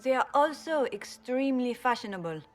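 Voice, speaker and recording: A woman's voice: high voice, lively intonation, brisk, soft background music. A woman playing a prim, vain lady courtier in a fantasy video game, with a formal, affected manner. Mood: prim, proud. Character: prim, fashionable female masked courtier, loyal and vain. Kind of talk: video game dramatic dialogue.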